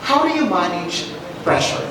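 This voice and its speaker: A woman asking brisk, polite questions at a press meet. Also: on the low side, big pitch swings. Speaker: pageant media interviewer. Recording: echoey room, distant mic, hum.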